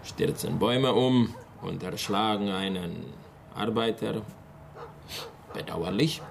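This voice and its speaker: Radio drama role: laconic man, gravelly and halting, cynical, drawn-out delivery, light ambient noise behind. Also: fairly low pitch. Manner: halting, clipped fragments. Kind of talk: German radio drama dialogue.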